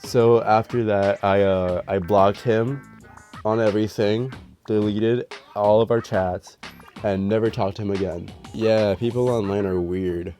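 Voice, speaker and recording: Young man, nasal from a cold, lowish voice, rambling and swearing casually, faint music behind. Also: fairly even intonation, a little slow, mellow. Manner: rambling, profane, self-deprecating storytelling. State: sick, nasal. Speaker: storytime vlogger. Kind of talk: casual storytime vlog. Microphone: dedicated voice mic.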